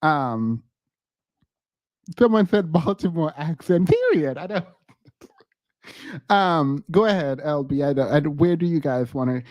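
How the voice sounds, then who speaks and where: A man's voice: on the high side, expressive, unhurried, pauses often, dry recording, dull audio. A man hosting a casual chat, rambling with fillers and reading viewer comments. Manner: rambling with many fillers, laughs easily. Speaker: podcast host reading comments.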